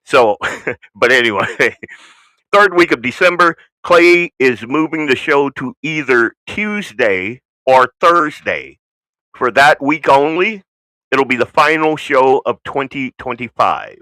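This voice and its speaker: The blunt, folksy man you would hear hosting an evening political show from a dry, close studio, bright and punchy, his American accent flattened from Southern roots. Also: mid-range voice, slow, no echo, poor audio. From United States. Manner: opinionated, blunt, folksy, rambling asides. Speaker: talk show host. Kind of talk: unscripted political talk show monologue.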